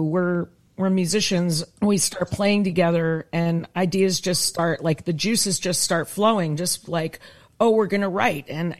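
A woman musician in a band interview, talking casually with wry humor. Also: fairly low pitch. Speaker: band singer and lyricist.

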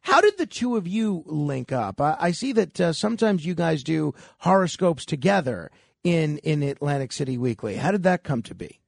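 A man hosting a radio interview, halting, with many fillers. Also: fairly high pitch, very animated, gravelly, no room sound, clean studio sound. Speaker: radio host.

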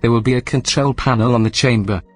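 A man voicing an unflappable, literal computer assistant in a deadpan, matter-of-fact way for an audio drama. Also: medium pitch, fast talker, husky, faint music behind, natural voice.

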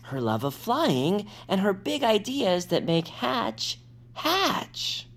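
A woman voicing an eager, loyal young boy who stammers with excitement, in an audio drama. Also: medium pitch, slow pace, exaggerated voice. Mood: earnest.

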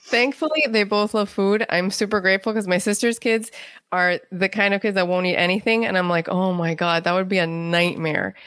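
A woman's voice: medium pitch, a little fast, a bit bright, no room sound, clean studio sound. A middle-aged woman, a writer being interviewed, telling anecdotes in casual chat. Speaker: guest playwright and writer.

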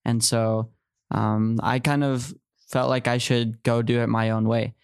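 A man's voice: medium pitch, level tone, measured pace, vocal fry, smooth, no room sound, studio quality. A man in his early twenties telling personal stories as a guest in a podcast interview. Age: early 20s. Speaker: podcast guest, returned missionary.

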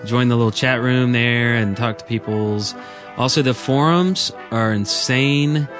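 A man co-hosting a casual gaming podcast, talking in a halting, rambling way. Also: medium pitch, over music. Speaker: podcast co-host.

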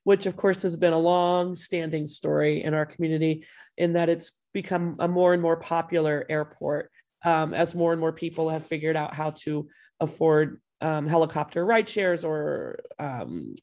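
Woman, hoarse from a cold, casual podcast host, video call from home. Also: low-pitched, moderate pace, darkish tone. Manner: chatty, friendly, loose with fillers.

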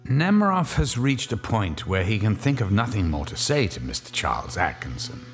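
A man narrating a radio drama in a measured, wry storytelling manner. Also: lowish voice, very animated.

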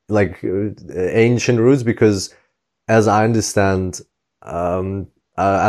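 A man's voice: lowish voice, a little mellow. A man hosting a podcast, introducing his guest with enthusiastic, filler-filled talk. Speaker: podcast host.

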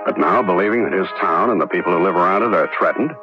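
An actor as a solemn, deliberate male narrator in a 1970s radio drama. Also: deep voice, lively intonation. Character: grave male announcer-narrator. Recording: lo-fi old radio broadcast with music.

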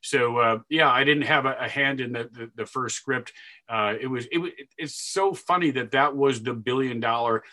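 A man with a deep voice telling stories in an interview, animated and now and then bursting into loud sportscaster calls. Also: moderate pace. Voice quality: deeper voice. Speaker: video game announcer. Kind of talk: interview storytelling.

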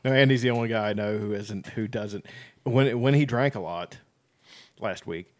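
A man's voice: on the low side, moderate pace. A man telling joking stories in casual podcast chat. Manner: joking storyteller, lively.

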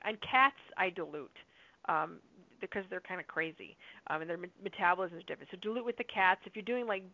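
A slightly hoarse woman hosting a call, chatty and upbeat, answering listener questions with lots of fillers. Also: mid-range voice, no room sound. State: slightly hoarse from long talking. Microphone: phone or conference line. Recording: dry tinny phone-line audio.

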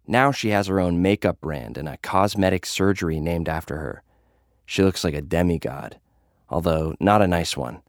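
A young gladiator influencer with a low voice, recorded close and dry. He is jaded and puts up a tough front, telling his story in first person with casual, slangy confessions, like an audio drama lead. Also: normal speed, dry recording. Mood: jaded, confiding.

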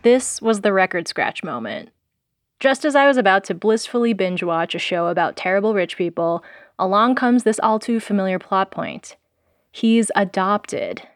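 A woman's voice: mid-range voice, normal speed. Wry woman narrating an audio documentary. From born in South Korea, raised in the US. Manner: wry, sardonic, pop-culture slang. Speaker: producer and host.

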